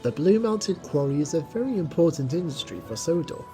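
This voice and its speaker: A woman's voice: low-pitched, expressive, fairly quick, over loud music. A woman narrating a scripted children's story as an audio drama.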